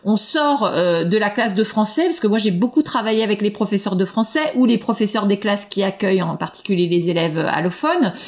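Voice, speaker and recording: A woman's voice: mid-range voice, lively intonation, a little fast, few pauses. A woman researcher answering interview questions, hesitant, with many fillers and restarts. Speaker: education researcher.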